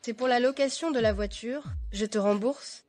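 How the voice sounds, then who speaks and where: An actress dubbing a practical, matter-of-fact young bride. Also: female voice, a little high, normal speed, darkish tone, soft background music, close to an everyday voice.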